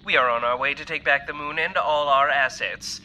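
A man's voice: on the high side, steady tone, moderate pace, pushed and bright. A man playing a smug, menacing corporate lawyer delivering a formal ultimatum with pompous, falsely courteous theatrics. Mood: smug menace. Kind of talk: audio drama acting, formal recorded message.

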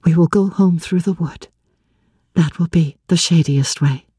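A woman performs an earnest young woman in love for a classic audiobook. Her voice is lifted high and breathy, softly respectful and tender, with unhurried phrasing. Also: no room sound, clean studio sound. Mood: gentle, practical. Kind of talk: dramatic audiobook reading of classic literature.

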